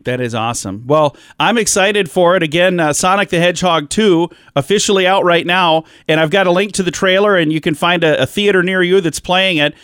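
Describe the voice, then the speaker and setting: A man's voice: high voice, fairly quick, few pauses, fairly bright tone, clear, resonant tone, dry recording, clean studio sound. A man hosting a radio show, friendly and jokey while interviewing a guest and swapping trivia.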